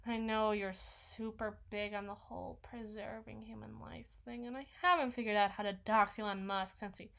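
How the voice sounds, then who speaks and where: Woman acting a sulky, bright-voiced vampire gamer girl. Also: fairly high pitch, fairly even intonation, creaky. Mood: earnest, wheedling. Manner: whiny, sarcastic, halting, dropping into mumbles and sighs. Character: sulky, sarcastic young female vampire, reclusive lazy gamer. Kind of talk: ASMR roleplay, one-sided conversation with an unheard partner.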